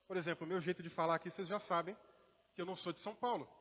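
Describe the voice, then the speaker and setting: A man's voice: high voice, fairly even intonation, very fast, fairly bright tone, long reverb tail. A man from Brazil with a mixed Minas-tinged Brazilian Portuguese accent, preaching a conversational sermon in a church with acted quotes and asides. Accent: mixed Brazilian Portuguese, partly Minas Gerais. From Brazil, born in Rio, raised in inland Minas Gerais. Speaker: pastor.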